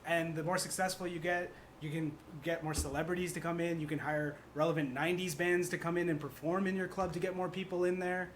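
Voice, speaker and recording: A man on a panel casually pitching his ideas in an eager, enthusiastic way. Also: level tone, a little fast, hardly any pauses. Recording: faint background noise.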